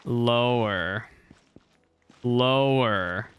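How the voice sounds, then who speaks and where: A young man commenting on his own gameplay for his viewers, excitable and quick to complain or cheer. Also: mid-range voice, clean tone. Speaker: gaming content creator. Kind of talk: live game reaction commentary.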